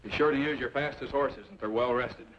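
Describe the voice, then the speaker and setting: An actor playing a commanding leader of armed riders in a western radio drama, giving clipped, authoritative orders. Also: male voice, mid-range voice, brisk, a bit bright, faint music behind, close to an everyday voice. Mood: firm, commanding. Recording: muffled, noisy old radio drama recording.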